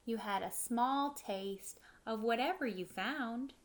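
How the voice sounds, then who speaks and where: A woman's voice: fairly high pitch, slow pace, slight background noise. Woman reading aloud to children. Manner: expressive storybook reading, simple explanations for children. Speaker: teacher reading a picture book aloud. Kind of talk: children's read-aloud with short explanatory asides. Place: living room at home, indoors.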